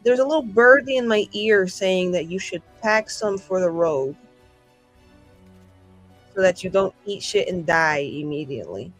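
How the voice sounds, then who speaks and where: Woman playing a sassy, vivacious, slow-drawn flirty adventuress. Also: lowish voice, soft background music. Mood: brusque, teasing. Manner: rambling, sassy, theatrical, casual modern slang. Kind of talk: improvised tabletop roleplay acting.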